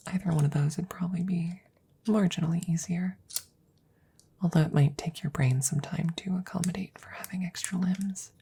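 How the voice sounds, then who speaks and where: A woman playing a worried, devoted, nerdy scientist best friend in an ASMR audio roleplay, speaking softly and breathily up close, often whispering, in a hesitant, rambling, reassuring way. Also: lowish voice, steady tone, normal speed, creaky, pauses often, a little hiss or hum. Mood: softly playful. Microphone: close microphone.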